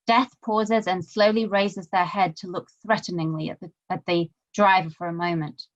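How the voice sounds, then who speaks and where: A woman's voice: lowish voice, steady tone, moderate pace, lo-fi sound. A woman reading stage directions as scripted narration.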